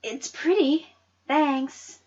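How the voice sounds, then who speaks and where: A woman's voice: high-pitched, very slow, a little mellow, highs cut off, voice pushed up. A woman voicing a shy, wishful, pouting sixth-grade girl in a storybook reading.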